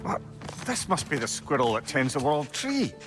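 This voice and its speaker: An actor playing a learned, wry guide in a video game, eloquent. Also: male voice, on the high side, very animated, normal speed. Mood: wry, informative. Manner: eloquent, lore-telling. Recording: music under the voice.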